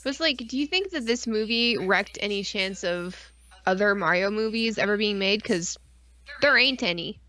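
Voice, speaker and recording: A woman's voice: mid-range voice, lively intonation, moderate pace, pushed and bright. Blunt, irreverent woman in casual banter. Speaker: co-host of a weekly pop culture stream. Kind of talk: casual chat, crude joking banter.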